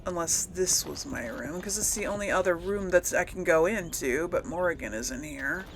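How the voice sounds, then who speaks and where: A woman's voice: lowish voice, normal speed, a little hiss or hum, rough recording. A woman hosting a casual role-play game playthrough, thinking aloud and hesitating as she goes. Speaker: video game player and series host.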